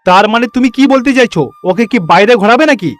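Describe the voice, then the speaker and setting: Man voicing a stern, high-pitched village father. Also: expressive, a little fast, faint music behind. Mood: stern refusal. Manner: stern, admonishing. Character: strict, suspicious, overprotective village father. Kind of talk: animated moral story dialogue.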